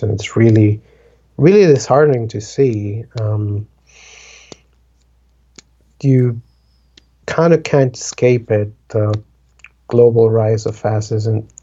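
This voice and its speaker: A man's voice: fairly low pitch, takes it slowly, pauses often, dark tone, highs cut off. A man in his twenties from the Dominican Republic, chatting casually and rambling with many fillers like "like" and "um". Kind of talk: casual conversation.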